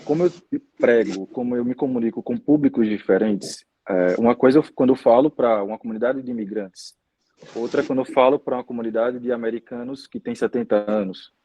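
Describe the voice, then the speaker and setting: Husky young Brazilian priest. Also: male voice, mid-range voice, mellow, dry recording, highs cut off. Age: 20s. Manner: measured, many "né?" tags. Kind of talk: interview answers.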